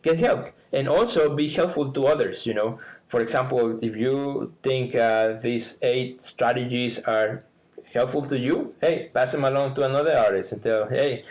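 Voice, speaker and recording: Mexican guy, spanish first language, podcast cohost, animated, laughs a lot, lots of uhs. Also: medium pitch, normal speed. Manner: animated, laughs often, many fillers. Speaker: podcast co-host. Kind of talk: casual podcast chat.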